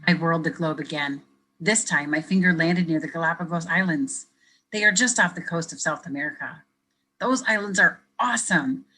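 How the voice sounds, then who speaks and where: A woman educator reading a picture book aloud to children, pausing to ask them questions in a gentle, expressive way. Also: low-pitched, poor audio. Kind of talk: children's read-aloud with teacher commentary.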